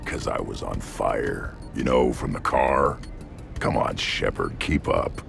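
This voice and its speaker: A man voicing a young, hulking alien brute in a video game, blunt and deadpan, with a growling voice. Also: a bass voice, slow, soft background music, exaggerated voice. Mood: boastful, amused. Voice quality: gravelly, growling. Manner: terse, deadpan.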